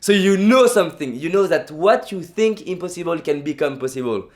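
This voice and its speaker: A man's voice: fairly high pitch, expressive, brisk, darkish tone. A young man with a French accent, a native French speaker, giving an emphatic motivational vlog talk full of repetition and restarts. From France.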